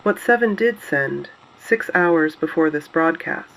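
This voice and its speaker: A gravelly actor as a male announcer of an underground resistance broadcast, his voice a little high. He is solemn, guarded and deliberate, delivering short statements in a dystopian audio drama. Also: expressive, fairly bright tone, exaggerated voice, rough recording. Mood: solemn, ominous. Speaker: broadcast host. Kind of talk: scripted dystopian broadcast narration.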